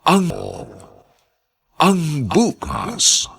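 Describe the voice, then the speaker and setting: Male radio announcer, husky and gravelly, for dramatic scripted drama introductions. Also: sits high, huge pitch swings, very slow, fairly bright tone.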